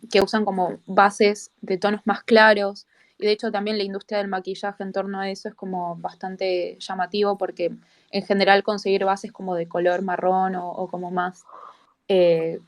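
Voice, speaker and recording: A woman speaks at a medium pitch with quite flat intonation. She offers reflective commentary as a panel guest, linking thoughts loosely with small asides. The recording is dry. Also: no room sound. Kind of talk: reflective commentary in a live audio discussion.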